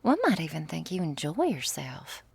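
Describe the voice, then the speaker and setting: A woman's voice: deep voice, big pitch swings, moderate pace, darkish tone, no echo, heavily acted. A woman playing a teasing, doting female vampire lover in an intimate ASMR roleplay, with whispery asides and quaint, affectionate wording. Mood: playful, affectionate. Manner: breathy asides, pet names, quaint turns of phrase.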